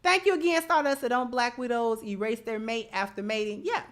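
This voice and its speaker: A woman's voice: fairly high pitch, fairly even intonation, brisk, a bit bright. An American woman hosting opinionated live commentary, speaking emphatically and repeating phrases for stress. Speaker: host of a commentary show.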